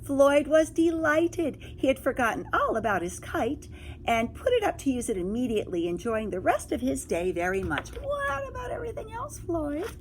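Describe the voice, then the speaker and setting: Animated woman reading a storybook aloud. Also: high voice, moderate pace, a little hiss or hum. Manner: expressive, exclaiming, drawing listeners in with questions. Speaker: teacher reading a picture book to children. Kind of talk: read-aloud storytelling with asides and questions to young listeners.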